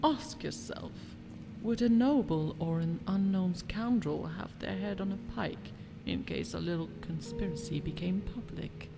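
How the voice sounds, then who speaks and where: Over prominent music comes a scheming noblewoman of a fantasy game. Her low voice has a creaky edge. She speaks in formal, disdainful phrases, as one far above commoners. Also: a little slow. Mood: conspiratorial, threatening. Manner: imperious, formal, disdainful diction. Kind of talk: fantasy video game dialogue. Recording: music behind the voice.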